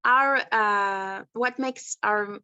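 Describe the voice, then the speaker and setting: A woman from Greece leads a presentation on a group video call. She speaks slowly and haltingly, feeling for her words. The sound is dry and somewhat muffled. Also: medium pitch, sharp tone, clear, resonant tone, no room sound. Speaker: youth minister leading a presentation.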